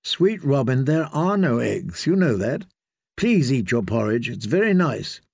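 A man doing an anxious young noblewoman, soft, coaxing, dry close sound. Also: medium pitch, big pitch swings, a little slow, vocal fry, husky, no echo, exaggerated voice.

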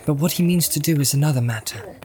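A man's voice: on the high side, quick pace, background noise, voice pushed up, natural voice. A man voicing an earnest hobbit master in a storybook reading, grave and firm yet merciful. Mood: grave, resigned.

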